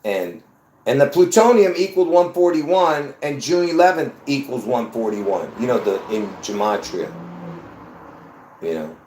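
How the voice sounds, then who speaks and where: A man hosts his own video in a casual, rambling monologue, repeating words and getting worked up as he talks to viewers. His voice sits in a middle pitch and the sound rings around an echoey room. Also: measured pace, a little hiss or hum. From Lebanon. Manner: excitable, repeats himself, laughs between points. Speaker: video host.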